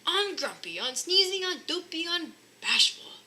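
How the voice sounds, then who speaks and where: Storybook papa bear, played by a woman: forgetful, blustering, very bright and swooping. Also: very high-pitched, big pitch swings, a little slow, voice pushed up, full character voice. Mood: eager. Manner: emphatic, absent-minded exclaiming.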